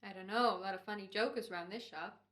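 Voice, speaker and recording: A woman plays a weary radio performer at a medium pitch. The lines come out casual and sarcastic, in the slangy manner of an old-time radio comedy. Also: fast talker, fairly bright tone, close to an everyday voice. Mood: dry. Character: dry, wisecracking, worn-out radio performer.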